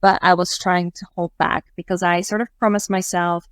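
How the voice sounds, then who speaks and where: A woman's voice: lowish voice, level tone, moderate pace, no room sound, clean studio sound. A woman from the Netherlands in her thirties, speaking casually as a podcast guest and often restarting her sentences. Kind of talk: casual interview chat.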